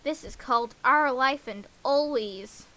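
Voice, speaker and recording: A woman's voice: high-pitched, unhurried, bright, forceful tone. A woman livestreamer chatting casually and playfully to herself, slipping into sing-song babble. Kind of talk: casual livestream chat while setting up games. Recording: clear background hiss.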